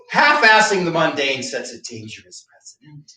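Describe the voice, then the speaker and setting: An actor in a staged reading playing a bitter, drunken older philosophy professor, pompous and sardonic. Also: male voice, fairly high pitch, wildly swooping pitch, unhurried, sharp tone, exaggerated voice. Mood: mocking. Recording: echoey room, distant microphone.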